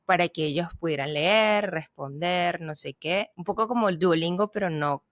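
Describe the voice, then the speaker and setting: A woman co-hosting a podcast, casually explaining things with a Spanish accent mixed with Argentine, pausing and restarting as she talks. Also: fairly low pitch, no room sound, studio quality. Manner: hesitant, restarts and fillers while explaining. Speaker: podcast co-host, language teacher. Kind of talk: casual conversational chat.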